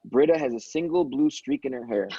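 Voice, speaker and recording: A man's voice: medium pitch, a little fast, mellow, breathy edge, no room sound, lo-fi sound. A man reading scripted stage directions as narrator of a table read.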